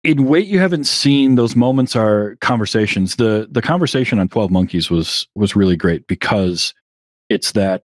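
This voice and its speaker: Podcast guest, male, low-pitched, loose rambling delivery, dry close mic. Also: lively intonation, normal speed, no room sound. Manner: rambling, restarts often, chuckles at himself. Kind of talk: casual interview chat.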